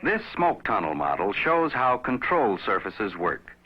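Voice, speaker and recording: Animated male narrator, dull old film sound. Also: lowish voice, breathy edge. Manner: measured, instructive, addressing the viewer. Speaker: narrator of a pilot training film. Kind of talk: scripted educational film narration. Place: recorded voice-over.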